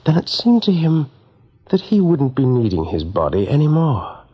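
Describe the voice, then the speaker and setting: A man giving expressive, measured narration for a radio drama, with a husky voice. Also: mid-range voice, muffled recording. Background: soft music.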